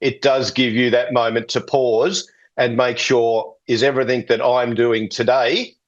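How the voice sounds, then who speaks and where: Male investigator interviewee, medium pitch, measured official delivery. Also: flat delivery, muffled recording. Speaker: transport safety investigator.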